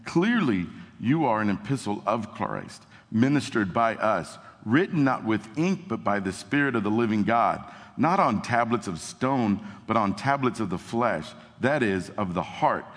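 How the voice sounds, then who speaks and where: A man's voice: fairly low pitch, expressive, unhurried, few pauses. A young man, a youth pastor, giving a casual sermon and reading scripture aloud into an amplified microphone in a church. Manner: casual and self-deprecating, reads scripture with rising emphasis. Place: church hall with amplified sound. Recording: long hall reverb.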